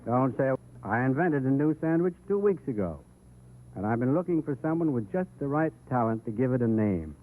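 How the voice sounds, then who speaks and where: Guy doing a pompous old-time radio hotel man, very animated, thin tinny sound. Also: mid-range voice, brisk, natural voice. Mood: mock-grand. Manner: pompous, theatrical, mock-grand. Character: pompous hotel man grandly mocking a singer. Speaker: comic guest on a radio variety show. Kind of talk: 1930s radio comedy acting. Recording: tinny, noisy 1930s radio broadcast.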